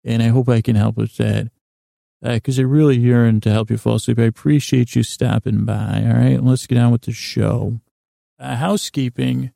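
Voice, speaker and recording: A middle-aged man with a gravelly, creaky voice, hosting a bedtime sleep podcast in a meandering, halting monologue that trails off into tangents. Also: mid-range voice, warm tone, no room sound, studio quality. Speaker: podcast host.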